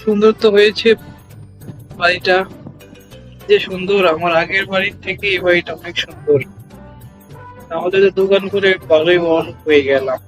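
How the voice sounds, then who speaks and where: A man's voice: sits high, fairly even intonation, normal speed, pauses often, fairly bright tone, soft background music, rough recording. A man voicing a humble village shopkeeper in a cartoon story, musing aloud in a plain-spoken way. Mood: pleased. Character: poor, worried village man turned hopeful shopkeeper. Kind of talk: cartoon character dialogue.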